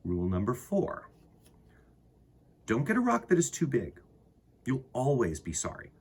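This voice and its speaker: A man with a husky voice reading a picture book aloud to children in an expressive way, with dramatic pauses and emphasis. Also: mid-range voice. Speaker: children's storytime host and reader.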